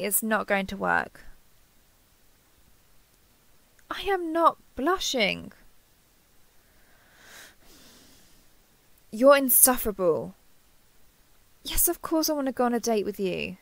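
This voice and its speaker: A woman's voice: fairly high pitch, vocal fry, a bit bright, no echo. A woman playing a kindhearted, awkward young woman who gets easily flustered, speaking hesitantly and earnestly in an intimate roleplay audio drama.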